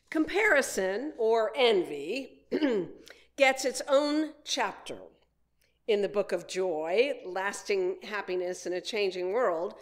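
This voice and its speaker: A woman preacher delivers a sermon as personal storytelling. She takes her time, speaking at a markedly slow pace in a mid-range voice, with a conversational manner and self-deprecating humor. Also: medium pitch. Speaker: minister preaching.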